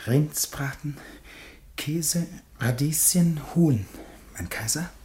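An actor playing a deferential old valet to a Roman emperor in a radio play, servile, formal and plaintive. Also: male voice, on the high side, takes it slowly, mellow, a little hiss or hum. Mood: faint, plaintive. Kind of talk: theatrical radio play dialogue.

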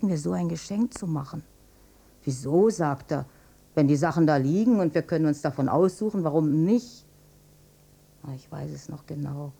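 Radio drama mother, troubled and weary, dark husky tone, plain-spoken intimate recollection. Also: low-pitched, heavily acted. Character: weary, troubled German mother recalling her wartime marriage.

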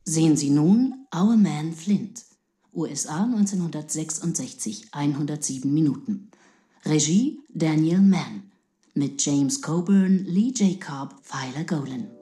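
An actress playing a languid television continuity announcer in a radio drama. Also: female voice, low voice, lively intonation, measured pace, dark tone.

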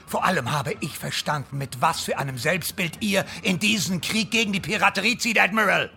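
Gravelly, sharp-voiced irate governor. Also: male voice, high-pitched, a little fast, heavily acted. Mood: furious. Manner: biting, incredulous, commanding. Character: irascible, imperious colonial governor demanding respect. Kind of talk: radio drama acting.

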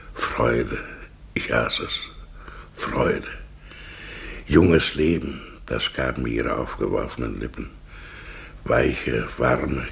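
An actor playing a brooding, sensual middle-aged husband lost in memory, murmuring haltingly in a German radio drama. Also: male voice, fairly bright tone, heavily acted. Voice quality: very deep, gravelly. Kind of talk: literary radio drama monologue.

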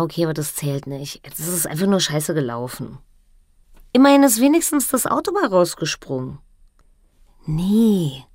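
A woman's voice: mid-range voice, no echo, studio quality. An actress playing a pushy, persuasive woman pleading colloquially in a one-sided radio drama conversation. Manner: insistent, colloquial. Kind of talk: naturalistic radio drama dialogue.

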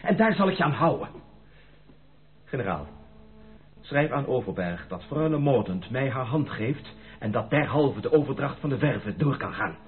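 An actor as an insistent gentleman suitor, swooping intonation. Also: mid-range voice, animated, moderate pace, lightly acted. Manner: formal, emphatic, dramatic. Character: proud gentleman suitor, insistent, earnest, stubborn. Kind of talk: Dutch radio play acting. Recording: thin narrow-band radio play recording.

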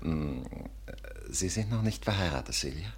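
An actor playing a vain, flattering Parisian art dealer, suave, florid and pompous, in a 1950s radio drama. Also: male voice, sits low, moderate pace, husky. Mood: courteous, hesitant.

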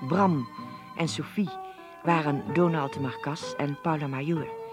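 Higher-voiced formal male radio announcer. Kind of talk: formal scripted credits announcement. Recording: music under the voice.

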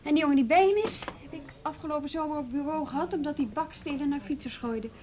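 A woman playing a brisk, friendly policewoman in charge, in a naturalistic Dutch radio drama with casual delivery. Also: high-pitched, darkish tone, a little hiss or hum, lightly acted. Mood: confidential.

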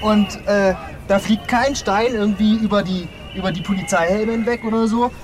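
A man's voice: high voice, fairly even intonation, moderate pace. A man chatting casually and colloquially, as if telling a friend an anecdote. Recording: noisy outdoor background.

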